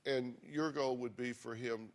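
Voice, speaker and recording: A man's voice: mid-range voice, steady tone, a little slow. A man hosting a talk show, steering a heated family dispute with dry jokes.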